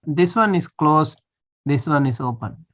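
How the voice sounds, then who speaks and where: A man's voice: a little high, animated, unhurried, a bit bright. A man, a monk, teaching a language class over a video call, patiently checking that students follow. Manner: patient, checks understanding often.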